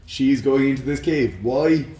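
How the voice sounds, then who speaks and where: A man's voice: medium pitch, measured pace, warm tone. Chatty man in an echoey room. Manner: chatty, laughs often, many fillers. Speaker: podcast co-host. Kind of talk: casual chat about films and games.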